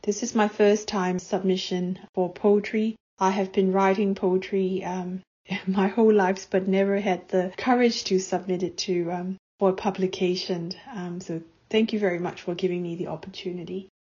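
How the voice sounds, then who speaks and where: A woman's voice: mid-range voice, little pitch movement, moderate pace, mellow, muffled recording. A woman from Myanmar with a Burmese accent, a native Burmese speaker, explaining her work as a guest poet. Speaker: guest poet and visual artist. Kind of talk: explanatory talk introducing own work.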